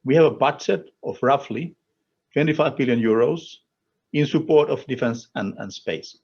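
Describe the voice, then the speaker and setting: A man from Finland with a Finnish accent delivers a formal policy speech as an official, in deliberate, emphatic short phrases. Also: mid-range voice, darkish tone, breathy edge, highs cut off. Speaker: European Commission official speaking at a conference.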